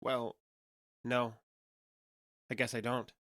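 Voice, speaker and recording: An actor playing an earnest, matter-of-fact young alien fugitive who passes for human in an audio drama, speaking naturally and a little hesitantly. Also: male voice, medium pitch, flat delivery, slow pace, fairly bright tone, dry recording, clean studio sound. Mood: uneasy, hesitant.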